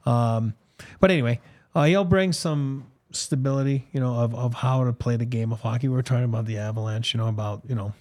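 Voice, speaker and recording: A man's voice: mid-range voice, fairly quick, darkish tone, dry recording. A man, a former hockey player whose first language is French, co-hosting a casual sports podcast with a French accent touched by Boston, rambling with lots of ums and "you know". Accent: French, with some Boston. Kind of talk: casual sports talk with ad reads.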